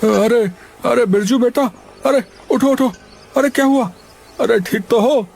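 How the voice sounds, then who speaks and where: A man's voice: very high voice, measured pace, creaky, a little mellow, full character voice. A man voicing a caring, bossy uncle in a cartoon story, fussing and repeating "arre" again and again. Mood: worried. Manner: fussy, repeating "arre" over and over. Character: caring middle-aged uncle, worried and bossy. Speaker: uncle of the boy. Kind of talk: cartoon voice acting.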